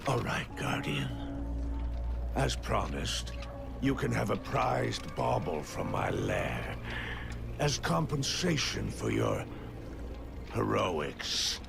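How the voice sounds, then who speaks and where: Gravelly, drawling alien crime boss. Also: male voice, on the low side, wildly swooping pitch, very slow, long pauses, heavily acted. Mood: grudging, smug. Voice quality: gravelly, throaty. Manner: drawling, sardonic, theatrical. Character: sly, menacing alien crime boss, male, in his lair. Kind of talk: video game cutscene acting. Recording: loud cinematic music behind.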